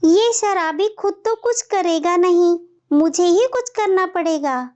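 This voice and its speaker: A woman's voice: very high-pitched, slow pace, darkish tone, lo-fi sound, voice pushed up. A woman voicing a long-suffering, plaintive mother sparrow in a children's cartoon. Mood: resolute. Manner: plaintive, pleading.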